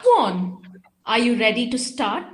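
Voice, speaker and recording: A woman acts a commanding mind prober in an audio play. Her voice is fairly high and very measured, and she gives instructions haltingly and deliberately. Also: very animated. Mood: hypnotic, commanding. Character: Callista, probing, commanding female mind prober. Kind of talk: audio drama acting.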